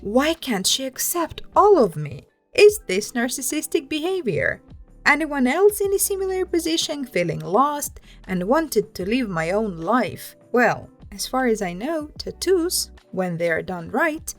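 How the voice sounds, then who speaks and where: A woman's voice: high-pitched, big pitch swings, measured pace, faint music behind. A woman hosting a story channel, reading first-person written accounts aloud and adding casual commentary. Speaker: host reading online stories with commentary.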